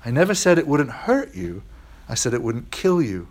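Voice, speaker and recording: A man voicing a calm angel who speaks slowly and gravely in a lowered voice. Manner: slow, grave, measured. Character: calm, burning male angel.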